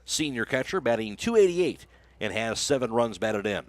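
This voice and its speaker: A man's voice: medium pitch, big pitch swings, normal speed, no echo. An American man calling a baseball game live as a radio play-by-play announcer, in clipped phrases that follow the action.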